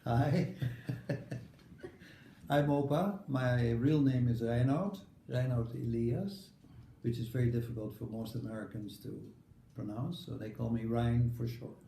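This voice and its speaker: A man in his eighties from the Netherlands with a Dutch accent, recalling his life in a casual family interview, halting with many fillers. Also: mid-range voice, vocal fry, dark tone, reverberant, slight background noise, rough recording. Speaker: grandfather being interviewed.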